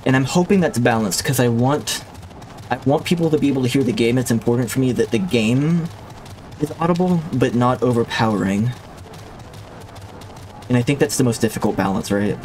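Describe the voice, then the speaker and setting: A man's voice: medium pitch, a little fast, pauses often, a little mellow, slight background noise. A man streaming a video game who chats with his viewers in a rambling, reflective way, often restarting his sentences, on a streaming mic with a limiter. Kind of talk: casual chat while livestreaming a game.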